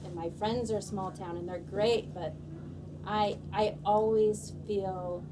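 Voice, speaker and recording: Female audience member, from a small California town, halting and rambling, heard from a distance in a noisy hall. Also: medium pitch, fairly even intonation. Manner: halting, self-conscious, rambling with fillers. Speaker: audience member asking a question. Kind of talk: live audience question.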